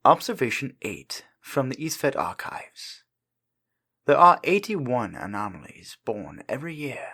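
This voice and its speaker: A man narrates a scripted archive record. His mid-range voice is raspy and breathy, the wording clinical, the intonation very varied. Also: mid-range voice, very animated, unhurried, pushed and bright.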